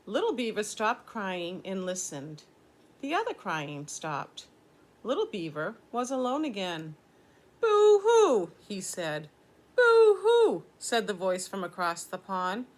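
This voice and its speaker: A woman's voice: mid-range voice, very animated, a little slow. An older woman reading a children's story aloud, explaining things simply as a teacher would to young listeners.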